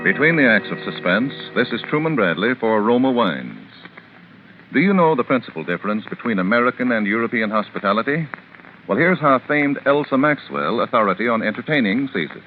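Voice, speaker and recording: A man's voice: medium pitch, big pitch swings, brisk, soft background music. A man announcing a 1940s radio commercial in a polished, emphatic sales style. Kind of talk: 1940s radio advertisement read. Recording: narrow, faintly noisy old radio recording.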